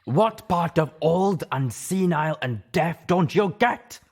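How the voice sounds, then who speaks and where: A man voicing a scowling, bitter monster dog in an audiobook, gruff and exasperated in manner. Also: fairly high pitch, expressive, slow pace, pitched above the performer's own voice, exaggerated voice. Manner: gruff, foul-mouthed, exasperated.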